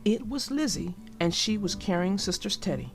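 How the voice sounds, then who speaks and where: Female children's storyteller: very animated, creaky at times, casual and direct with listeners, faint music behind. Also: medium pitch, normal speed. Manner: emphatic, directly addresses young listeners. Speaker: storybook narrator and host. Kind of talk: expressive read-aloud and casual commentary for children.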